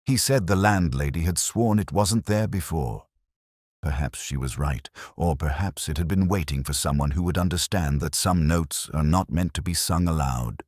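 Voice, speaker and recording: A man playing a melancholy, reflective police detective, narrating his case in a measured, literary, brooding manner for a mystery audio drama. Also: extremely low pitch, dry recording, studio quality, heavily acted. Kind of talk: scripted first-person noir-like mystery narration.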